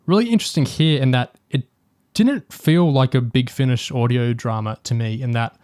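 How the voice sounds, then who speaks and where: A man's voice: mid-range voice, normal speed, a little mellow, clean tone, no echo, studio quality. A man co-hosting a podcast, talking casually and analytically through a review, hesitantly thinking aloud as he goes. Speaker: podcast co-host.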